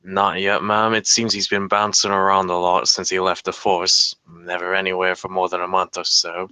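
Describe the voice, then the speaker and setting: Man playing a deep-voiced, guarded police detective. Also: little pitch movement, moderate pace, sharp tone, clear, resonant tone, no echo. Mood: tense, deferential. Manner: terse, restrained, deferential. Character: weary, guarded, dutiful male police detective. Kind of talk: screenplay table read acting.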